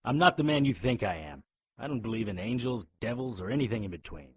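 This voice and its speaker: Skeptical private eye, audio drama lead, husky and blunt, widely varied pitch, wry urgency. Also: male voice, animated, fast, no echo. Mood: defiant. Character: gruff, skeptical amnesiac private investigator hero.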